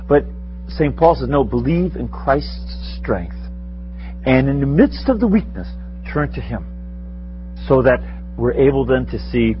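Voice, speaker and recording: A man's voice: mid-range voice, highs cut off. A man in his sixties giving an informal, conversational spiritual talk to a live audience, rambling and self-deprecating with frequent chuckles. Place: meeting room with a live audience.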